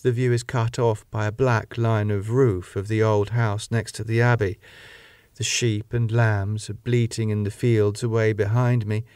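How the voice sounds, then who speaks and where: A man narrating a classic novel as an audiobook in a measured, expressive, dramatic way. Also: mid-range voice, no room sound, clean studio sound.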